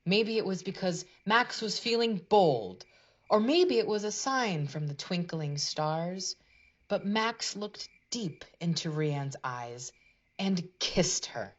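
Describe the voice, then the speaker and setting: A woman's voice: fairly low pitch, expressive, slow, muffled recording. A woman narrating a scripted storybook tale for a puppet show in a dramatic fairy-tale manner.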